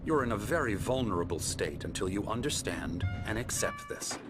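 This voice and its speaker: A man playing a middle-aged psychiatrist in a video game, calm and measured, patronizing as he soothes patients at his clinic. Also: on the low side. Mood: grave, persuasive. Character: calm, smooth, condescending male psychiatrist running a clinic. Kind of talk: video game cutscene acting. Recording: music playing behind the voice.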